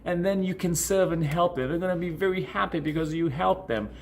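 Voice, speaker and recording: A man's voice: sits high, a little fast, a little hiss or hum. A man whose native language is Portuguese, speaking as a coach and video host in an informal explanatory style, repeating and rephrasing words for emphasis. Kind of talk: informal explanatory talk to camera answering questions.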